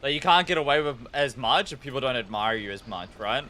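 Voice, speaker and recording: A male game streamer with a New Zealand accent and a bright, forceful voice of middling pitch. He talks in a loose, informal, rambling way with plenty of swearing. A faint layer of noise sits behind him. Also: expressive, a little fast. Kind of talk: casual livestream chat while gaming.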